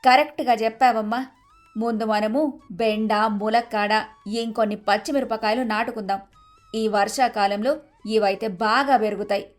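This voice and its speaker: A woman playing a middle-aged village mother-in-law in a moral story, gentle, nurturing and instructive. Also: on the high side, steady tone, moderate pace, soft background music, close to an everyday voice. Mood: gently instructive. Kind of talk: scripted storybook acting.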